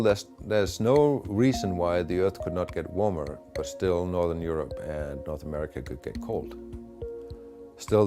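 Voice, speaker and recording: A man from Denmark with a Danish accent, thoughtfully explaining ocean currents in a documentary interview. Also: low voice. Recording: documentary clip with music bed.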